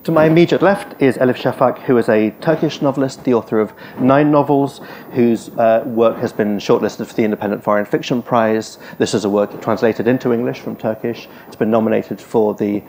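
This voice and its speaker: A man's voice: mid-range voice, a little fast, a little mellow, a little hiss or hum. A man moderating a panel discussion on a festival stage, speaking with frequent hesitant fillers. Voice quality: hesitant, filler-heavy phrasing. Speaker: event chair.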